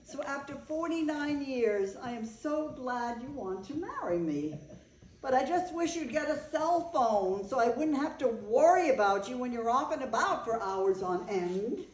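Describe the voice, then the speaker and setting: Older bride, female stage reader: eccentric, chatty, earnest storyteller with a raised pitch, heard in an echoey room. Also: expressive, measured pace, a little hiss or hum. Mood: affectionate. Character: Eve, eccentric older bride, affectionate, chatty animal lover. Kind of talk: staged reading of a play. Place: indoor room, staged reading.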